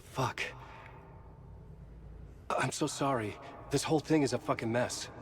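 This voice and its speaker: A man's voice: mid-range voice, unhurried, a bit bright, slight background noise, natural voice. A man acting in a video game drama as a troubled, frustrated writer haunted by visions. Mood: frustrated, overwhelmed.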